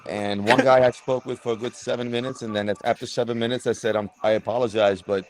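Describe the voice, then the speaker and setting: A man's voice: mid-range voice, fast, rough recording. A man, a guest on a video call, telling a story and acting out the people in it. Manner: animated, acting out quotes. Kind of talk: casual storytelling.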